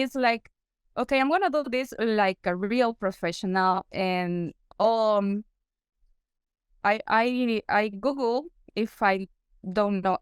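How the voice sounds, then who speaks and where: Peruvian woman guest, halting second-language English, smooth and clear, dry close sound. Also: on the high side, dry recording. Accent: Peruvian Spanish. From Peru. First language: Spanish. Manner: halting, searching for English words. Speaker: guest consultant, periodontist. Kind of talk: interview answers.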